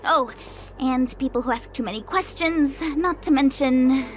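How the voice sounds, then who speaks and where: An actress playing a breezy young slacker woman, a playful guide in a video game, with an expressive, theatrical manner. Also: sits high, measured pace. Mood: bored, resigned. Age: young adult. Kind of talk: animated video game voice acting. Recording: game music behind the voice. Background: background music.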